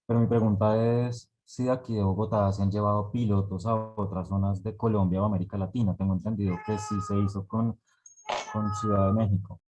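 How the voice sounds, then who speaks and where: Flat low-voiced man asking a question. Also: a little fast, a little hiss or hum. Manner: unsure, rambling. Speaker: online event attendee. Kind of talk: question in a video call.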